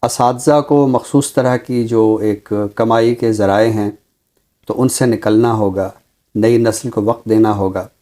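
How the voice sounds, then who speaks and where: A Pakistani man speaking formally and deliberately as a literary commentator, with emphatic, repeated phrasing. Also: medium pitch, fairly even intonation, mellow. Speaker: literary commentator on a talk show. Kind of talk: measured, formal literary discussion and poetry recital.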